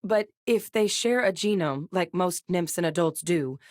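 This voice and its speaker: An actress plays a guarded, principled woman scientist in a sci-fi game. Her voice sits low, recorded very dry and close. She speaks in a measured, earnest manner with a wry edge. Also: no echo, close to an everyday voice. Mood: firm, earnest. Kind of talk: video game character dialogue.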